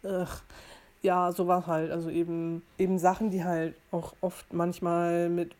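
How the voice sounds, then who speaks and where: A woman's voice: lowish voice, flat delivery, unhurried, darkish tone. Young German woman talking casually. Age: early 20s. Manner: informal, explanatory, self-reflective, circling back to rephrase. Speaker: podcast host. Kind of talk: casual personal monologue to listeners.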